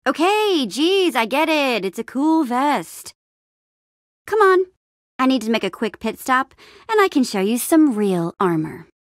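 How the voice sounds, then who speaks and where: Upbeat young game hero girl, plucky n wry, super bouncy intonation, kinda high. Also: sing-song, a little slow, no room sound, studio quality. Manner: eager, wry, casual youthful phrasing. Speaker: video game voice actor. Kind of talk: animated adventure game dialogue.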